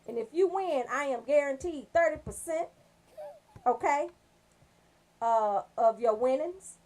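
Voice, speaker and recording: A woman's voice: high voice, a little mellow, rough recording. An American woman hosting a casual radio show, chatty and slangy, joking as she talks straight to her listeners. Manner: slangy, joking, bantering, addresses listeners directly.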